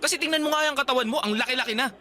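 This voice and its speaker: A scornful young boyfriend in a radio drama, with a high voice and a bright edge. He is blunt and mocking and rattles his lines off quickly. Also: faint music behind, heavily acted. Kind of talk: Tagalog radio drama acting.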